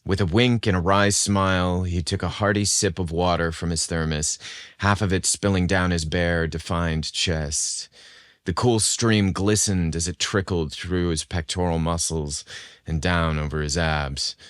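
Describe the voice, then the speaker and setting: Male narrator for romance audio fiction, notably low register, dry sound. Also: fairly even intonation, measured pace, clean tone, no room sound. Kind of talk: scripted romance story narration.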